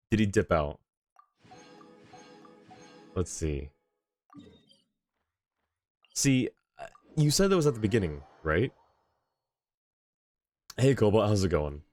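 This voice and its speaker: A man with a low voice and a dry, close sound talks through live gameplay. He is easygoing and casual, often half muttering to himself as he chats with his viewers. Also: darkish tone, no room sound. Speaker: live game streamer. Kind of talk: casual live gameplay commentary, chatting with viewers and reading dialogue aloud.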